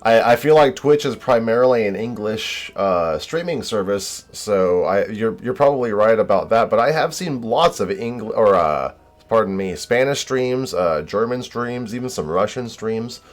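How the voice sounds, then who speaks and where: A man's voice: fairly low pitch, lively intonation, normal speed. A young man streaming a game, chatting casually with viewers in a modest, hesitant way full of fillers.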